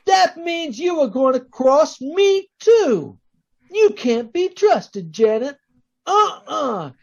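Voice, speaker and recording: Man playing a high-voiced, menacing radio crook. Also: a little mellow, full character voice. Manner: slangy gangster talk, taunting. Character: menacing, double-crossed male crook, coldly vengeful. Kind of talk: 1940s radio acting. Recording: dull, lo-fi video-call audio.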